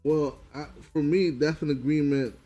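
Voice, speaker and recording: A man in casual podcast talk, pitched a little high with a mellow, dark tone. He keeps pressing and repeating his point. Faint music plays underneath. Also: steady tone, moderate pace. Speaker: podcast co-host. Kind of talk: casual chat.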